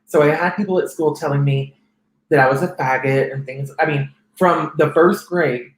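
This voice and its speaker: A man in his thirties with a soft, feminine-sounding voice, telling his life story as an interview guest, with frequent restarts. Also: a little high, fairly even intonation, normal speed, reverberant, poor audio. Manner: rambling, many restarts and fillers. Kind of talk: personal testimony in conversation.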